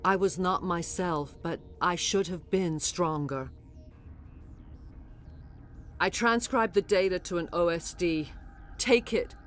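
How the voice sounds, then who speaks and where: Low-voiced solemn alien matriarch. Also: female voice, a bit bright, soft background music. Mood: remorseful, grave. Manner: grave, formal, measured speech. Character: solemn alien matriarch, indoctrinated servant fighting mind control. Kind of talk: dramatic video game voice acting.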